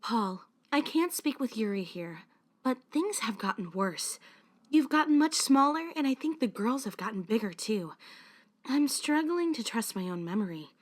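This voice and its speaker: A woman plays a caring high school girl who leads her club in a fan-made visual novel. Her voice is high and very expressive in pitch. She has a protective temper with an anxious undercurrent. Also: normal speed. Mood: worried, confiding.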